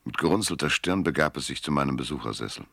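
A man's voice: extremely low pitch, expressive, fast talker, vocal fry, a bit bright, dry recording, heavily acted. A man playing a weary, cynical private detective in a radio drama, narrating and talking in a laconic, dry, world-weary way. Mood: weary, disgusted. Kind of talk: first-person noir narration and dialogue in radio drama. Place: dry studio.

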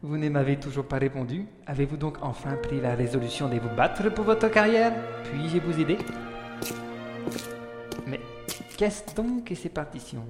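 A man's voice: fairly high pitch, normal speed, close to an everyday voice. A man acting a gallant scholar, echoing hall. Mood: teasing, courteous. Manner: formal, courteous, refined. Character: cultured, gallant music scholar at an 1880s conservatoire. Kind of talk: French audio drama acting. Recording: echoey hall reverb, music behind.

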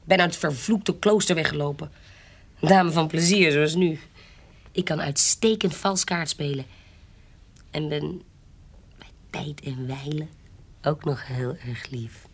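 An actress playing a shrewd, defiant adventuress in an audio period drama, guarded, cool and commanding. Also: female voice, deep voice, a little slow, pauses often, exaggerated voice. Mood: proud, worldly. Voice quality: husky. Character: shrewd, defiant adventuress, forger and courtesan posing as noble. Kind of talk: audio drama acting in Dutch period piece.

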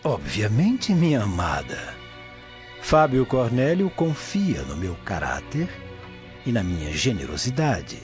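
A man playing a smooth, insinuating Roman prefect in a radio drama, courtly and formal in his wooing. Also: fairly low pitch, huge pitch swings, takes it slowly, exaggerated voice. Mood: smoothly amorous. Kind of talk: Brazilian radio drama acting. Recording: muffled radio drama with music.

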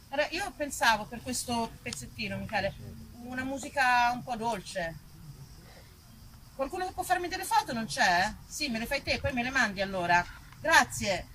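Female stage performer, bright and forward, chatty rambling delivery with theatrical repetition, faintly noisy recording. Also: on the high side. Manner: informal, digressive, repeats phrases for effect. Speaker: stage performer addressing the audience. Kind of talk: conversational theatrical monologue.

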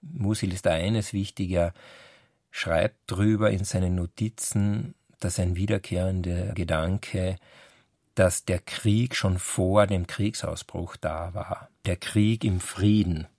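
Low-voiced scholar, close and dry. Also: male voice, fairly even intonation, takes it slowly, a little mellow, no echo. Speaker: literary scholar. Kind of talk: explanatory interview talk.

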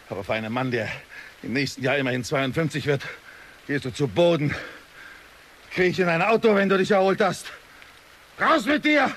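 A man's voice: mid-range voice, a bit bright, slight background noise, natural voice. An actor playing an enraged, scornful middle-aged father in a German radio drama. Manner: scornful, taunting. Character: enraged, contemptuous father in his fifties.

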